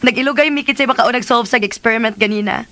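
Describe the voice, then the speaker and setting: A woman's voice: a little high, fast talker, bright, forceful tone, no room sound. A woman acting in a radio drama as an affectionate, teasing young wife with a playful manner.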